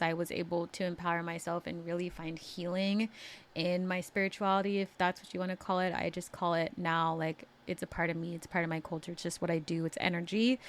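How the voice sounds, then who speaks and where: Chatty woman telling her own story. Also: lowish voice. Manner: casual, chatty, laughs often, many filler words. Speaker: podcast host. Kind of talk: personal storytelling monologue.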